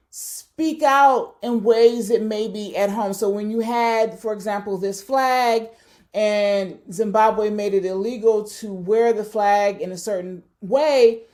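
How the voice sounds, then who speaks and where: Reflective woman, higher voice. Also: a little mellow. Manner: thoughtful, searching, many fillers and self-corrections. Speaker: academic speaker. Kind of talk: reflective research talk.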